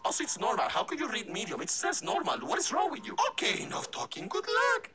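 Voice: medium pitch, wildly swooping pitch, quick pace, noisy background. A performer voicing a sardonic undead game guide, mock-polite and irritable, in theatrical host style. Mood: sardonic, snippy.

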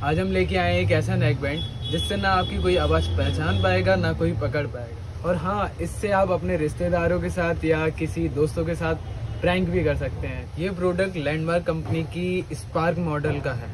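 A man, presenting a gadget demo and sales pitch in a shop. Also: steady tone, moderate pace, background noise. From India. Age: 20s. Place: small mobile accessories shop.

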